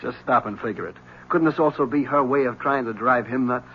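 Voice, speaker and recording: A man's voice: medium pitch, a little fast, slight background noise, close to an everyday voice. An actor playing a wry, shrewd male police lieutenant in a 1970s radio drama. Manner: wry, needling. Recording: dull lo-fi 1970s radio broadcast.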